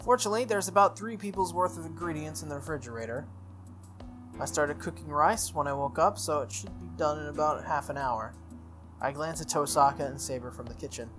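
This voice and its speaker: A man hosting a game playthrough, reading a visual novel aloud in an animated way with casual asides. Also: a little high, brisk. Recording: music playing behind the voice. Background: game music.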